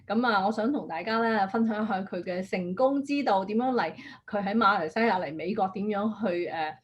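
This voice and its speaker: A woman hosting an interview, lively and quick to laugh. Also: mid-range voice, highs cut off. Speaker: host. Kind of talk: casual interview.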